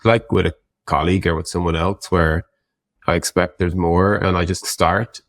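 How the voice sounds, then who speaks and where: A man's voice: low voice, steady tone, normal speed, no room sound, studio quality. A man speaking as an interview guest, explaining things casually and reflectively in an informal conversation. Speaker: interview guest, tech product executive.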